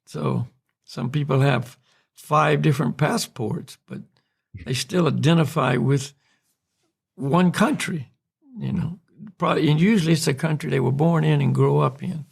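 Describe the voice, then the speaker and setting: An American man from North Carolina, a podcast host calmly explaining spiritual ideas in a reflective, unhurried way. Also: fairly high pitch, gravelly, breathy edge. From North Carolina, USA. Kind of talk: spiritual discussion.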